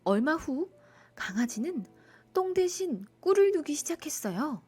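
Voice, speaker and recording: A woman narrating a children's bedtime folktale in an expressive storytelling style. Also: sits high, measured pace, faint music behind.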